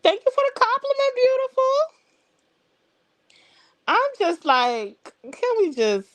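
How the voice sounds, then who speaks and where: A woman's voice: very high voice, wildly swooping pitch, takes it slowly, no echo. A woman hosting a casual livestream, talking bluntly and straight to her viewers. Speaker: livestream host. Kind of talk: casual live chat.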